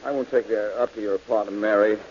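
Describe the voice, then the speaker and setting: A man's voice: fairly low pitch, fairly even intonation, quick pace, a little mellow, breathy edge. A man playing a cool-headed, mocking detective in an old radio drama. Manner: cool, mocking and self-assured. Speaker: detective hero. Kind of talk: 1940s radio acting. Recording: dull old radio recording with hiss.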